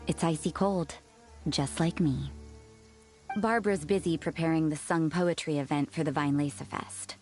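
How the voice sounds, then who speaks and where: Aloof, blunt young woman acted by a woman, lowish voice, understated game delivery, faint music behind. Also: steady tone, normal speed, darkish tone, natural voice. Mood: cool, dismissive. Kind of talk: video game voice acting.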